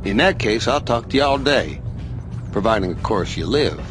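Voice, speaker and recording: A man's voice: fairly low pitch, animated, normal speed, close to an everyday voice. An actor playing a cocky, swaggering male space racer who taunts with a showy manner, in a game cutscene. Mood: taunting. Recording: game cutscene with loud music.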